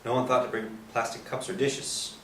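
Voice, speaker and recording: Man acting fun-loving genial priest, medium pitch, stage play reading, roomy echo, distant mic. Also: flat delivery, moderate pace, a bit bright, close to an everyday voice. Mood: gentle. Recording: roomy distant stage recording, faint noise.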